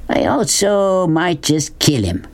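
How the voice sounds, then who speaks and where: A woman reading a storybook as a gruff, mocking, bullying rural man. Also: deep voice, very animated, slow, darkish tone, pitched above the performer's own voice, heavily acted. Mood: scheming, boastful. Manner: folksy, pushy, mocking.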